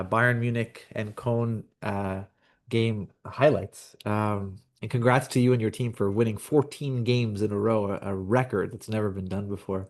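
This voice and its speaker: A man hosting an online case conference, upbeat and chatty with many fillers, over a video call. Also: lowish voice. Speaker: host.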